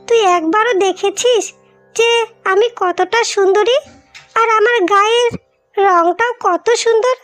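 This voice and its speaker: A woman's voice: extremely high pitch, a bit bright. A woman voicing a vain, haughty, mocking young bride in a melodramatic cartoon. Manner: haughty, insulting.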